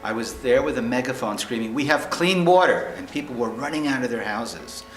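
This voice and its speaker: A man's voice: medium pitch, brisk. Earnest man in an echoey room. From Long Island, New York. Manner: earnest, emphatic storytelling. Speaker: interviewee. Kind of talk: personal testimony. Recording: echoey room with music underneath.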